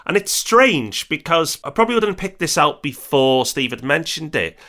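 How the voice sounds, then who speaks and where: A man's voice: fairly high pitch, sing-song, normal speed, pushed and bright. A man co-hosting a podcast, introducing guests in an upbeat, encouraging way. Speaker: podcast co-host. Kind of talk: scripted podcast narration.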